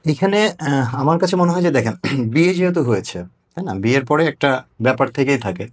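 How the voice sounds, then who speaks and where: A man's voice: mid-range voice, lively intonation, a little fast, breathy edge. A man interviewing a guest in a conversational way, dropping English words into his speech. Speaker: interviewer, host.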